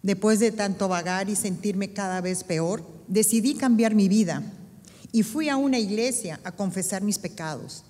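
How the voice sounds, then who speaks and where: A woman's voice: mid-range voice, a little fast, dark tone. A middle-aged woman from Peru with a Peruvian Spanish accent, reading her personal testimony earnestly on a church stage. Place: church stage before an audience. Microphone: stand microphone. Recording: long reverb of a large church hall.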